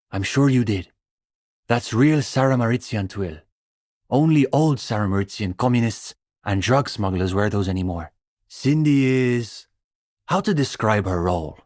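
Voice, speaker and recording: A young man voicing an earnest, bookish communist student in a video game, idealistic and a little condescending, speaking in measured, hesitant intellectual phrases. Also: fairly low pitch, darkish tone, no room sound, clean studio sound. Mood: resigned, thoughtful, then hesitant, diplomatic. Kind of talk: video game character dialogue.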